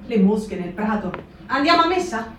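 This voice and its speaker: A woman's voice: a little high, very animated, moderate pace, a bit bright, slight background noise. A woman giving a performed literary reading, narrating in an intimate, vivid way. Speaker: reader. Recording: roomy live stage, distant mic.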